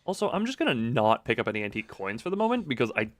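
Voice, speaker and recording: A man's voice: mid-range voice, big pitch swings, rapid-fire, a bit bright. A man giving casual live video game commentary, thinking aloud in a rambling, self-interrupting way with playful, self-deprecating wordplay.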